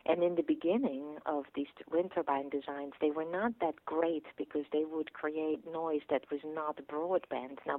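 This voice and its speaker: A woman's voice: low-pitched, no room sound. Woman expert on a tinny phone line. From Netherlands. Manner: thoughtful, explanatory, frequent restarts. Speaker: professor, guest expert. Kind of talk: interview answers explaining engineering.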